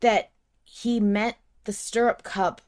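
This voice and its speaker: Effusive woman podcast host, average pitch. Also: steady tone, slow pace, a little mellow. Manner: effusive, gushing. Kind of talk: casual fan chat.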